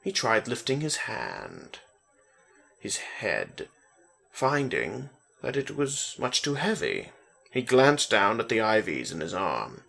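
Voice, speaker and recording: Low, gravelly man reading a story. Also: wildly swooping pitch, takes it slowly, fairly bright tone. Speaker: story narrator. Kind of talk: scripted narration, dramatic reading of a story.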